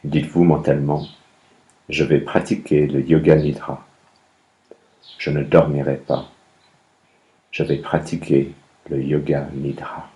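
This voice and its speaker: A man guiding a meditation as a yoga nidra instructor, speaking calmly in measured instructions with long pauses between phrases. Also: very deep voice, poor audio. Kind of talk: guided meditation, slow instructions.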